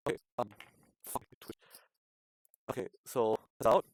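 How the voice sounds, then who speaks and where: A man's voice: mid-range voice, unhurried. A man commentating casually on a game livestream, swearing often and grumbling in exasperation. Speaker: streamer.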